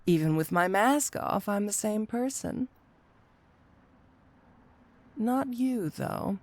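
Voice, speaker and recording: A woman's voice: medium pitch, lively intonation, lightly acted. A woman playing a flirty, teasing supervillain cat burglar in an ASMR roleplay audio drama, with a coy, seductive delivery. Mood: musing, probing. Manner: coy, teasing, drawn-out delivery with rhetorical tag questions.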